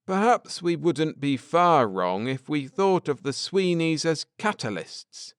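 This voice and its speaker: A man voicing a grim, intense space-travelling husband in an audiobook, lecturing gravely and earnestly with drama. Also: on the high side, sing-song, slow pace, no echo, clean studio sound, voice pushed up. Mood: speculating.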